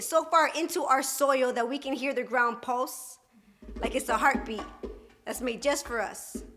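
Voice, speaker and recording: A young woman performing spoken word poetry at a live open mic, with a rhythmic, emphatic delivery and dramatic pauses. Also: high voice, bright, forceful tone, slight background noise. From Puerto Rican and Dominican heritage.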